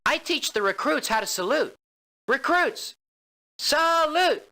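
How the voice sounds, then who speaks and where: A high, barking drill instructor. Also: female voice, animated, slow, sharp tone, exaggerated voice. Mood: officious. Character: stiff, gossipy army drill instructor.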